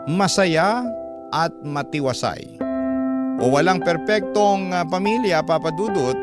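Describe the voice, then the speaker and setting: Male storyteller over loud music. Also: on the high side. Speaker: radio show storyteller. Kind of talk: scripted first-person story narration. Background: loud instrumental music.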